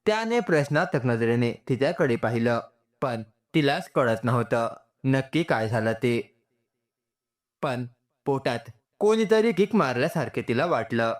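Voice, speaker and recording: A man's voice: mid-range voice, expressive, measured pace. A man reading a scripted, sentimental story as its narrator.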